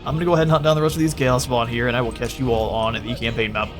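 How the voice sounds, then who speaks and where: A man's voice: mid-range voice, fairly even intonation, racing, music in the background. A man casually commenting on his own strategy game battle, thinking aloud as he gives orders to his units. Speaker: gaming channel host.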